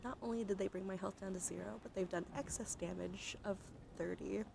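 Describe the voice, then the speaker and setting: Asmr streamer woman, soft voice w whispery bits, chatty, close mic, noticeable noise behind her. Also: mid-range voice, fairly even intonation, brisk, creaky. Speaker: live streamer. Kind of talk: casual ASMR livestream chat.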